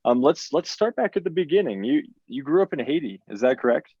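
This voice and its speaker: A man's voice: on the high side, big pitch swings, a little fast, darkish tone, breathy edge, dry recording. A man hosting a podcast interview, eager and repeating words as he starts his questions. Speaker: podcast host. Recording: dull, dry lo-fi audio.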